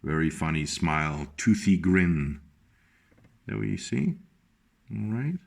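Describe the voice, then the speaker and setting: A man with a fairly low voice reads a picture book to young children, taking his time over every line. He speaks gently and stops often to show and explain the pictures. His delivery is unhurried and patient. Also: expressive, vocal fry. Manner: slow, gentle, pauses to point out pictures. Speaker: teacher reading a picture book. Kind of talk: children's storybook reading.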